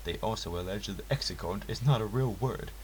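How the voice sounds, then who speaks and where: A man's voice: lowish voice, brisk, a little mellow. A man reading a scripted first-person story aloud in an earnest, theatrical way. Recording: hissy, clearly noisy home recording.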